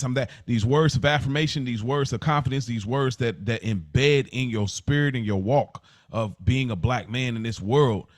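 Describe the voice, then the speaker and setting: A man's voice: mid-range voice, no echo, studio quality. A man co-hosting a casual podcast, making points emphatically and building them up through repetition. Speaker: podcast co-host.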